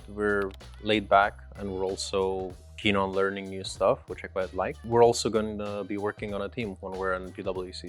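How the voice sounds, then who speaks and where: A young man giving casual answers in an interview. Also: low voice. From Romania. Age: young adult. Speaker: bootcamp trainee being interviewed.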